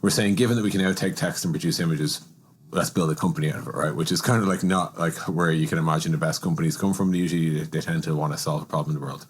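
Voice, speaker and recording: A man's voice: rumbling low, steady tone, very fast, husky. A man hosting a podcast conversation, offering his own opinions and thinking aloud through examples before putting questions. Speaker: podcast host.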